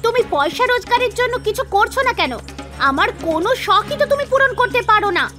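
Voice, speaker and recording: A woman voicing a demanding, quarrelsome wife who scolds and complains in an animated storybook tale. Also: extremely high pitch, brisk, soft background music, pitched above the performer's own voice, full character voice. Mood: angry, complaining.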